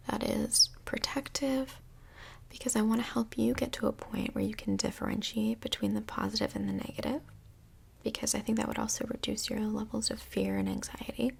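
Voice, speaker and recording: A woman playing a calm, chatty couples counsellor in a soft-spoken ASMR role play, with a breathy, whispery voice and gentle, measured, reflective delivery. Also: on the high side, full character voice. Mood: calm, professional. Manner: gentle, measured therapist talk with reflective pauses.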